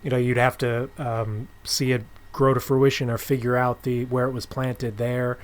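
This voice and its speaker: A man with a middle-pitched voice hosts an interview podcast. He speaks informally and conversationally, putting questions and comments to a guest. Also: little pitch movement, moderate pace. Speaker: podcast host. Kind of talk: casual interview chat.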